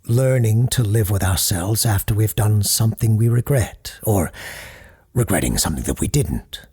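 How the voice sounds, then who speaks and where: A man playing a solemn parapsychologist who hosts a late-night call-in show in an audio drama, speaking formally and deliberately in a husky, gravelly voice. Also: lowish voice, expressive, mellow. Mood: gentle, regretful. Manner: formal, deliberate, halting pauses. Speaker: radio call-in host. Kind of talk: scripted audio drama, deliberate radio monologue.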